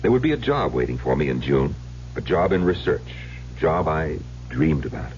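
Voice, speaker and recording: An actor playing an educated middle-aged husband, speaking in a measured, confessional, theatrical way in an old-time radio drama. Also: male voice, extremely low pitch, big pitch swings, darkish tone, full character voice. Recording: dull, noisy old radio recording.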